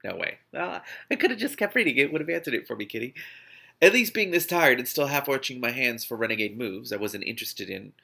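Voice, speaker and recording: A man's voice: mid-range voice, lively intonation, quick pace, creaky, pushed and bright, slightly rough. A man reading a novel aloud expressively, breaking off into spontaneous amused and exasperated asides as host. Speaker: host of an online read-aloud series.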